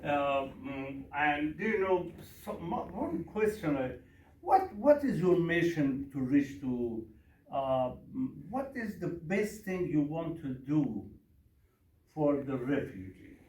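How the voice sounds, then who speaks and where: Persian-accented man, a minister giving a slow workshop talk in broken English, recorded from afar in an echoey room. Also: fairly high pitch, sing-song, a little mellow. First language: Farsi. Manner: broken English, repeats questions to the audience. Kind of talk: workshop talk with audience questions. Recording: echoey room from a distance, faint noise.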